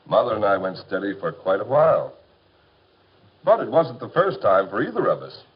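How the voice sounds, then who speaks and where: Animated 1950s American dad, dull soundtrack. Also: male voice, lowish voice, moderate pace. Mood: calm, advising. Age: middle-aged. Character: easygoing, reasonable middle-aged father advising his son. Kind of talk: 1950s educational film acting.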